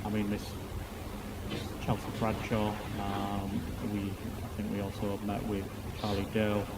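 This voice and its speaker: A man in improvised online roleplay, giving testimony in a measured, wordy, hesitant way. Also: on the low side, monotone, heavy background noise. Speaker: streamer roleplaying a court witness.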